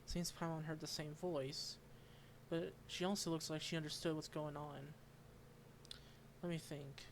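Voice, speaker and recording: A woman's voice: low-pitched, steady tone, a little slow, a little hiss or hum. A woman gamer giving casual gameplay commentary and reading game text aloud. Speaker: gaming streamer.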